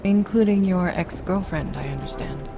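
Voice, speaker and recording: An actress playing a calm, probing doctor who questions a patient in a game cutscene. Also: female voice, on the low side, moderate pace, natural voice. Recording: game cutscene with loud music.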